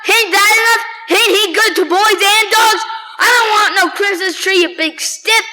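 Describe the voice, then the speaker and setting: Woman as a high-pitched scrappy little boy. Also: steady tone, normal speed, bright, forceful tone. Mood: indignant, shouting. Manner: folksy ungrammatical child talk. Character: scrappy, earnest poor little boy, about ten. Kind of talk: radio drama acting. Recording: echoey stage or hall.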